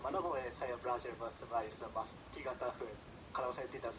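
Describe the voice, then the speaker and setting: A young man presents his interview clip in a polite, measured way, his voice at an ordinary pitch. Clear background noise sits behind him throughout. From Japan. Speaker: channel host and student interviewer.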